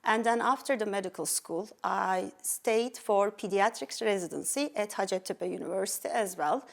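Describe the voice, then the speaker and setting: A woman's voice: medium pitch, moderate pace, gravelly. A middle-aged woman from Turkey with a Turkish accent, earnestly telling her life story as an interview guest. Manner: earnest, with fillers and restarts. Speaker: pediatric endocrinologist guest.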